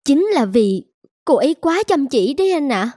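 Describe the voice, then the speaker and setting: A woman's voice: high voice, sing-song, normal speed, mellow, dry recording, studio quality. A woman playing a firm, polite young mother in a scripted cartoon, reasoning earnestly. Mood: insistent.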